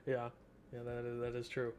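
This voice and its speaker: A man's voice: mid-range voice, darkish tone. A man giving casual gameplay commentary as a streamer, with wry asides. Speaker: game streamer.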